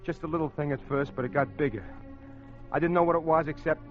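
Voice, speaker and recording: A man's voice: fairly high pitch, steady tone, quick pace, lightly acted. An actor playing a tough, slangy, tormented American crook who tells his own story in an old radio crime drama. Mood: brooding. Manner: slangy, hard-boiled. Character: tough male small-time crook, tormented, confessional narrator. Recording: dull old radio recording, music behind.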